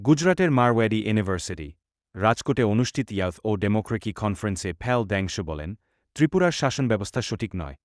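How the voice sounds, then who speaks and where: A man announcing a news headline in a rushed read. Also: fairly low pitch, very animated.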